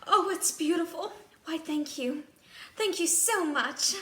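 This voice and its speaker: A woman's voice: extremely high pitch, slow, pushed and bright, roomy sound, far from the mic, pitched above the performer's own voice, exaggerated voice. A woman voicing a sweet young storybook heroine, earnest and full of grateful wonder. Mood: delighted.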